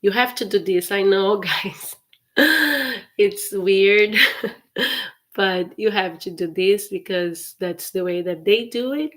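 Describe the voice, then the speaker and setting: A woman teaches everyday English on a live stream. She speaks very slowly, repeats each phrase with care, and often laughs lightly between lines. Her voice sits at a medium pitch. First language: Portuguese. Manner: slow, careful repetition of phrases with frequent laughs.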